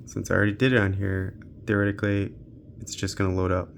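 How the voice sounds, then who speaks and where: A man's voice: deep voice, level tone, fast, gravelly, a little hiss or hum. A man giving an unscripted, casual tech walkthrough, thinking aloud informally as he tests games on a handheld. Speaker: tech reviewer hosting a handheld gaming channel.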